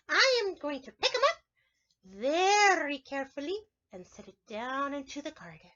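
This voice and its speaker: A woman's voice: sits high, big pitch swings, measured pace, bright, forceful tone, highs cut off. A woman preschool teacher reads a picture book aloud to young children, expressively drawing out words and addressing them directly.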